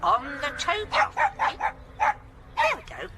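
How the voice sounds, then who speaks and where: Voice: high voice, huge pitch swings, a little slow, sharp tone, faint music behind, full character voice. An actor playing a fussy, doting dog owner who calls herself Mummy in a radio drama. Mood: doting, coaxing.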